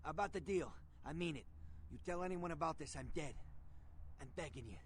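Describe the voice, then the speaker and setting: An actor playing a wounded, whining, foul-mouthed vampire servant in a video game, strained and agitated. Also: male voice, fairly high pitch, animated, a little fast, natural voice. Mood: pained, pleading. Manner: agitated, cursing, pleading. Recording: clear background noise, game ambience.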